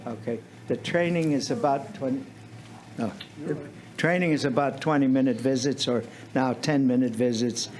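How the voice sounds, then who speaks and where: An older man speaking bluntly and in a measured way as a panelist in a meeting room discussion. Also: mid-range voice, a little mellow, a little hiss or hum, rough recording.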